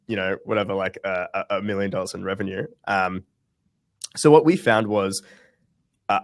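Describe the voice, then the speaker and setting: A man's voice: on the low side, dry recording, clean studio sound. A young man in a webinar interview, talking casually with lots of ums and restarts. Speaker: guest founder.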